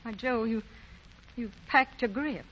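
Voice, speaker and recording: A woman's voice: on the high side, measured pace, fairly bright tone, a little hiss or hum. An American woman acting in a 1940s radio drama as a tender, homely older widowed mother who speaks plainly. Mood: worried, tender. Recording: dull 1940 radio recording.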